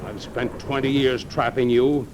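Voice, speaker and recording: An actor playing a coolly determined, vengeful blackmailer in an old radio drama, measured and accusing. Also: male voice, medium pitch, flat delivery, lightly acted. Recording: old radio recording with faint rain noise.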